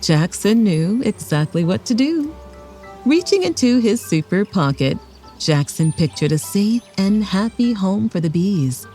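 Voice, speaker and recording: Animated woman narrating a children's story. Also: mid-range voice, measured pace, soft background music. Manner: expressive read-aloud for young children.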